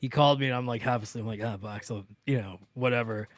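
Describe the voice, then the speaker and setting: A man, roughly in his thirties or forties, telling anecdotes in casual, slangy, animated chat. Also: mid-range voice, fast talker, no echo. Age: 30s or 40s. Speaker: radio interviewer on a podcast panel.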